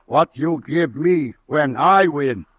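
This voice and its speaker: Stoic terse rider, very slow clipped words, dull old radio sound. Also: male voice, on the high side, expressive, no room sound. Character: terse, stoic young male horse rider. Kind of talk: 1950s radio drama acting.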